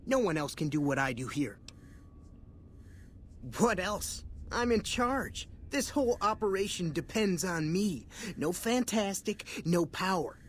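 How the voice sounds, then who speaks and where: Cocky, dim slacker technician. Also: male voice, sits high, expressive, a little slow, a little hiss or hum. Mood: cocky, defensive. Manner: slangy, boastful, swaggering drawl. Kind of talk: video game dialogue.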